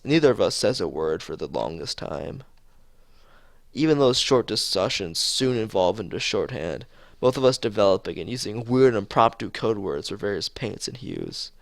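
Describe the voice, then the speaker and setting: Low, creaky-voiced man reading aloud. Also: no room sound, clean studio sound. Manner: halting reading with stumbles and repeated phrases. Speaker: streamer playing and reading aloud a visual novel. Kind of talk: reading a game script aloud with brief casual asides.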